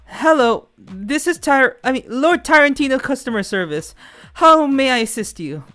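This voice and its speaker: A woman's voice: sits high, lively intonation, normal speed, a bit bright, pitched above the performer's own voice. A woman voicing a vain, easily rattled male creature with a mane, stammering as a reluctant phone worker, over music. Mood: forced politeness.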